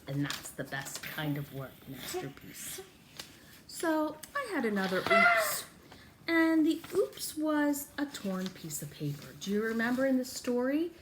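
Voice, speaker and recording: A woman's voice: fairly low pitch, background noise. A woman teaching and storytelling for small children, talking in an exaggerated sing-song way with coaxing questions and repetition. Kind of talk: children's story time with craft demonstration.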